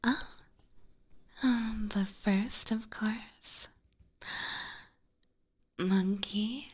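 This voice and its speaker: A woman whispers very slowly into a close binaural mic as an ASMR streamer. Her voice is soft-edged and breathy, at an average pitch for a woman. She sounds coy and playfully teasing. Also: fairly even intonation. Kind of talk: ASMR roleplay livestream, whispered. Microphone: close binaural ear microphone.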